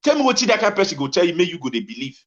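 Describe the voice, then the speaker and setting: Animated Nigerian man ranting, dull lo-fi audio. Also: high-pitched, rapid-fire. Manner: exclamatory, repeats phrases insistently. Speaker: talk show host. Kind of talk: impassioned informal rant in Pidgin to viewers.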